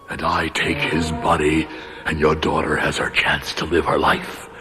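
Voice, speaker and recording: An actor playing a menacing, commanding doppelganger spirit in a radio drama. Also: male voice, low voice, pushed and bright, heavily acted. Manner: threatening, insistent, commanding. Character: menacing male doppelganger spirit, hungry to take a body.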